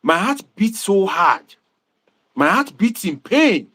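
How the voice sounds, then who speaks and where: A Nigerian man hosting a livestream, talking to viewers in a preachy, animated way and dropping Pidgin asides. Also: high voice, slow. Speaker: livestream host and political commentator.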